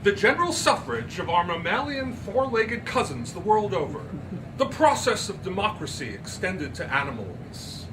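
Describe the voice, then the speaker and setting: Man playing a haughty high-pitched society lady. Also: big pitch swings, moderate pace, recorded from a distance, background noise. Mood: proud. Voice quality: sonorous. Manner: grandiose, formal, precise diction. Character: elegant, haughty, flirtatious woman, society secretary.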